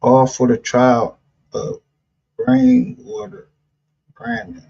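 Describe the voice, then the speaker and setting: A man with a husky voice chatting casually on a livestream, blunt and profane, with street slang. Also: sits high, sing-song, takes it slowly, dark tone. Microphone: streaming call. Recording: dull lo-fi stream audio.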